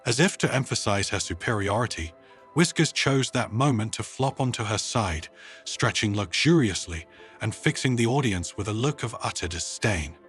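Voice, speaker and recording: A man narrating a scripted story in a dramatic, expressive audiobook style. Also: on the low side, normal speed, faint music behind.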